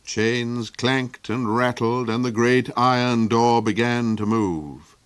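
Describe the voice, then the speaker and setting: A man narrating a fairy tale in an unhurried, expressive storytelling manner. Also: medium pitch, clean tone, no echo. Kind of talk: storybook reading.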